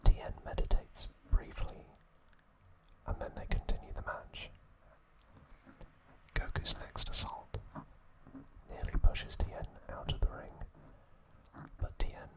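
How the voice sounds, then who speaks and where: Hushed ASMR whisperer, almost entirely unvoiced. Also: slow, long pauses, low-quality recording. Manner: soft, unvoiced whispering throughout. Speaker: ASMR host. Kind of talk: whispered ASMR narration of episode trivia.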